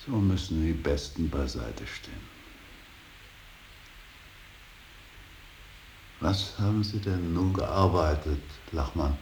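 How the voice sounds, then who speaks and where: A stern older professor of painting with an extremely low, gravelly voice. He speaks earnestly and deliberately, hammering on words as if preaching duty. It is a radio play with tape hiss. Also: animated, darkish tone. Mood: brooding, regretful. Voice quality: gravelly, very deep. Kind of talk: German radio play acting. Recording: hissy radio drama recording.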